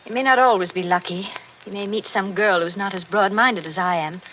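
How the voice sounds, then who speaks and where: A woman's voice: mid-range voice, fairly quick, fairly bright tone, close to an everyday voice. A woman playing a jealous married woman, brittle, arch and genteel, hiding hurt behind sarcasm in a 1940s radio drama. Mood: wounded, brittle. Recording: noisy, dull 1940s radio recording.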